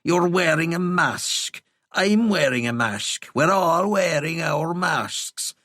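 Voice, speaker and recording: A man voicing an eccentric, rambling old man with a thick Kerry Irish accent, drawling slowly and whimsically. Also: fairly high pitch, animated, sharp tone, dry recording, studio quality, pitched above the performer's own voice, exaggerated voice.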